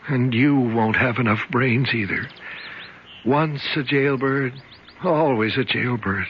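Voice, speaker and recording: A man's voice: medium pitch, slow pace, a little hiss or hum, close to an everyday voice. Man playing an old convict, earnest, rambling, dreamy, muffled vintage radio recording. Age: older. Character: older male convict, mystical escape artist. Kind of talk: 1970s radio drama acting.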